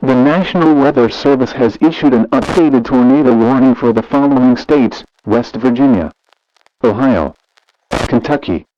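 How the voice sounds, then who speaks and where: A man reading a scripted emergency alert in a formal, measured official delivery. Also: mid-range voice, highs cut off, a little hiss or hum.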